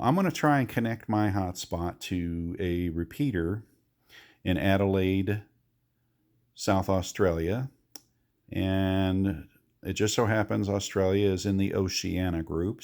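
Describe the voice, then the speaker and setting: A man's voice: low-pitched, a little slow, darkish tone. A man giving a casual, folksy, hands-on tutorial as a radio hobbyist, explaining things conversationally.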